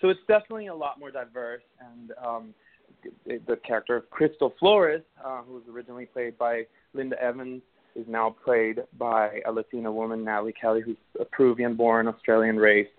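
A man's voice: mid-range voice, fairly even intonation, a little fast. A man in his thirties from Venezuela, with a Venezuelan Spanish accent, answering interview questions in a hesitant way with many fillers and restarts. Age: 30s. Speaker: actor guest. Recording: tinny phone line.

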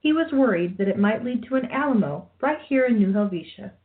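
A woman's voice: fairly high pitch, lively intonation, fairly quick. Woman narrating over a phone-like line. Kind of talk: scripted radio play narration.

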